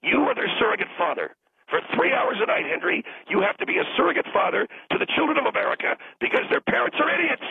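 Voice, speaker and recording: A hoarse man rants down a tinny phone line, his strained voice raspy and gravelly. He scolds with mock outrage, his pitch leaping all over the place. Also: wildly swooping pitch, fast talker, no room sound. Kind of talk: comic call-in rant.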